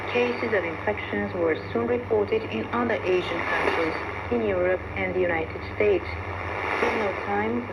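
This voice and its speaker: Female radio host, scripted news review, gravelly creak in the voice, very noisy shortwave reception. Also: mid-range voice, lo-fi sound. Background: loud radio static and noise.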